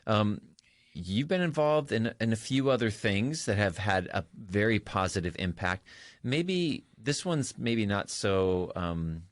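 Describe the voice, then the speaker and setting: A man's voice: on the low side, animated, unhurried, no echo. A man hosting a conversational interview, affirming his guest, recapping and prompting. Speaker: podcast host, interviewer.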